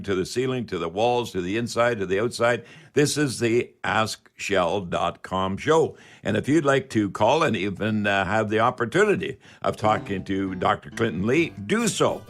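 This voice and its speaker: A man hosting a live call-in radio show in a folksy, repetitive patter. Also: fairly low pitch.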